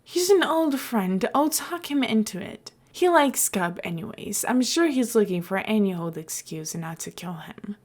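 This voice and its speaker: A woman's voice: medium pitch, animated, a little slow, few pauses, a little mellow. A woman voicing a glib, scheming male mayor in an audiobook, with a nonchalant, playful and emphatic delivery. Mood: casual, assured.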